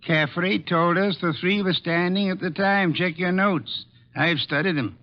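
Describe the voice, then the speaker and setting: Brilliant older male detective, exacting boss, raised gravelly voice, precise delivery, muffled vintage radio recording. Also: high-pitched. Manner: deliberate, precise, authoritative. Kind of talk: old-time radio drama acting.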